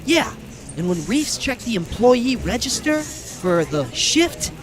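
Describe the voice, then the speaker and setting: A man's voice: very high-pitched, sing-song, slow pace, over music, heavily acted. An actor playing an eager, naive, deferential young rookie policeman in an old-time radio drama. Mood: eager, sheepish.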